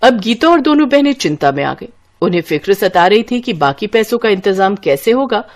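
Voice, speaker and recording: A woman's voice: mid-range voice, big pitch swings, brisk. A woman narrating a scripted story as a storyteller.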